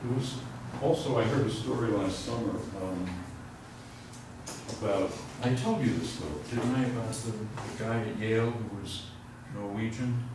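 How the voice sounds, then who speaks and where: Older professor echoing in a lecture hall. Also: male voice, fairly low pitch, normal speed, background noise. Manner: rambling anecdotal storytelling, self-interrupting. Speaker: physics professor. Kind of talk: university lecture. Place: large lecture room with chalkboards. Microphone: far room mic. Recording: echoey lecture hall from far off.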